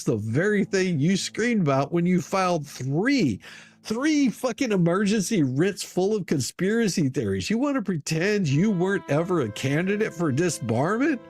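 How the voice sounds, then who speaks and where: A man's voice: fairly high pitch, darkish tone, slightly rough. A man giving a dramatic, scathing reading of an open letter in a mocking, theatrical, profanity-laced way. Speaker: online commentator. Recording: music under the voice. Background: music.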